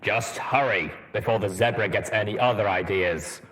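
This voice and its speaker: A man voicing a stern, grave old armored soldier pony ghoul in a low, rumbling, weighty voice for a story reading. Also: normal speed, a bit bright, natural voice. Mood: gruff, dry.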